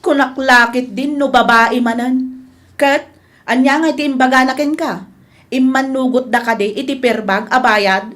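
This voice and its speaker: Chatty rural wife woman actor, high voice, Ilocano accent, eager, comic radio drama. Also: fairly even intonation, a little slow, a bit bright. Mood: nosy, indignant.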